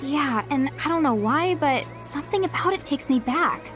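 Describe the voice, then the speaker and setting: Casting: a young heroine, high and lively, slow and hesitant. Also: female voice. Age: young adult. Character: young woman, the calmer sister of a pair of siblings. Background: game score music.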